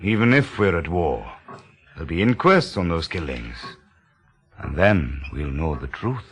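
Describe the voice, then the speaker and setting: An actor playing a firm, principled gentleman in an audio drama, husky and emphatically assured. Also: low voice, very animated, unhurried, heavily acted. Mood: resolute.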